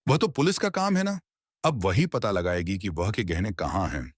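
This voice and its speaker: A man's voice: fairly low pitch, expressive, moderate pace, no room sound, studio quality. A man voicing a protective, righteous young brother in a cartoon moral story, speaking earnestly and admonishingly. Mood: pointed.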